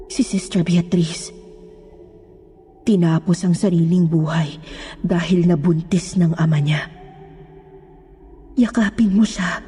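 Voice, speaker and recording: A woman's voice: mid-range voice, warm tone, lightly acted. A woman playing a sorrowful ghostly nun in a horror story, mournful and beckoning. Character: sorrowful ghostly nun, victim of cruel men.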